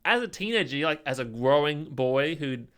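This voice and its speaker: Young male podcast co-host, slightly high voice, loose conversational storytelling with halting phrasing. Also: animated, a bit bright, clean tone. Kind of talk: casual chat, storytelling.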